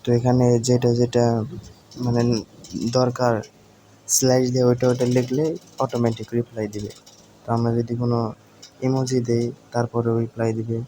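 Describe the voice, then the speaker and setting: A man with a Bangladeshi accent narrates an informal screen tutorial, explaining step by step in a halting way. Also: medium pitch, completely flat delivery, dark tone, poor audio. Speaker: tutorial presenter. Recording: home recording with faint background noise.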